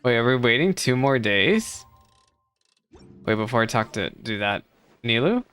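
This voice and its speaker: A woman's voice: rumbling low, big pitch swings, unhurried, a bit bright, smooth. A woman doing casual, chatty live reaction commentary as a gaming streamer, talking back to the characters on a close mic. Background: game music.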